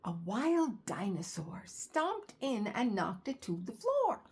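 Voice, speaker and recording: A woman voicing an anxious young boy llama in a storybook, excitable and pleading as he fibs. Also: medium pitch, slow pace, slight background noise, exaggerated voice. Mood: frantic fibbing.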